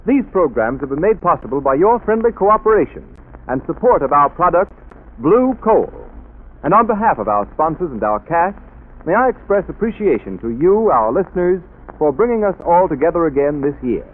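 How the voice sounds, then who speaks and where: A man announcing a 1930s radio drama in a booming, declamatory style. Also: sing-song, normal speed. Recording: tinny old radio broadcast.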